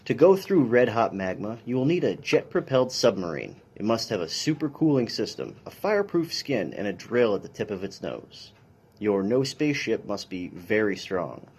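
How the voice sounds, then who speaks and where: A man's voice: lowish voice, very animated, moderate pace, a little mellow, breathy edge. A man, a police officer, reading a children's science picture book aloud in an instructional way with brief dry asides.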